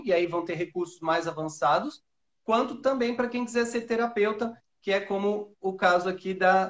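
A man's voice: high-pitched, highs cut off. A Brazilian man around forty hosting a casual live interview and explaining things to viewers. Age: 30s or 40s. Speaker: host, therapy course instructor. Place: indoor room. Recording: roomy, echoing call audio.

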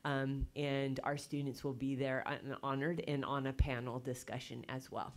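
A woman's voice: a bass voice, level tone, normal speed, slight background noise. A middle-aged woman presenting a program at a board meeting through a desk mic, explaining with frequent "um"s. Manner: filler-heavy, explanatory. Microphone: desk microphone.

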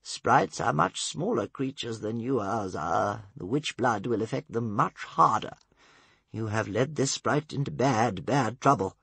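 A man voicing a grave, wise storybook advisor, solemn, admonishing and gently scolding. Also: mid-range voice, animated, unhurried, gravelly, slightly rough, dry recording, pitched above the performer's own voice, heavily acted. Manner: solemn, admonishing, rhetorical repetition.

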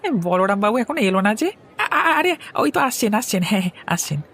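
A woman's voice: medium pitch, very animated, a little fast, a bit bright, slightly rough, heavily acted. A woman voicing an eager, deferential village market vendor in a Bengali cartoon story, with a Bengali accent. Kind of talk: Bengali animated story voice acting.

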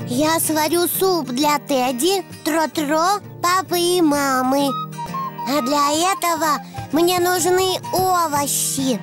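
Женщина озвучивает весёлого маленького ослика-мальчика в детском мультфильме, говорит просто и по-детски, будто сама с собой. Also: female voice, high voice, slow pace. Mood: playful, busy. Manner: simple, childlike, self-narrating delivery. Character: cheerful little boy donkey, playful and imaginative. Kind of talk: children's cartoon dubbing. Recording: music behind the voice.

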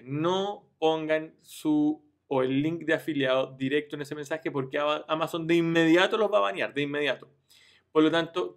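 A man's voice: on the high side, a bit bright. Man explaining a screen tutorial. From Chile. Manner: informal, addresses viewers directly, frequent "ya" and restarts. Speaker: online business youtuber.

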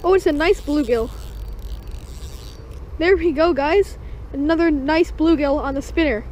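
An excited fishing vlogger narrating catches on a creek bank, calling out to the viewers as guys, recorded on a body-worn action camera. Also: high voice, unhurried. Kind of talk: excited first-person fishing commentary. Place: outdoors at a small creek bank. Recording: dull lo-fi camera audio with faint noise.

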